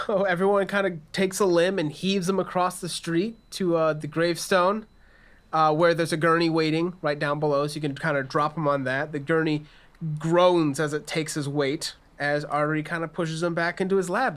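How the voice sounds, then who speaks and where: A man's voice: fairly high pitch, steady tone, normal speed, fairly bright tone. A man improvising conversationally as game master, narrating scenes and voicing characters in a tabletop game. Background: faint music.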